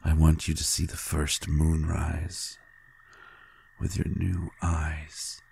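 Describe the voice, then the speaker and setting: A man playing a tender, adoring vampire lover in an intimate audio roleplay, gently teasing in a hushed, halting, gravelly voice. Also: completely flat delivery, slight background noise, heavily acted. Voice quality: very deep, gravelly and husky. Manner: slow, hushed, halting with pauses.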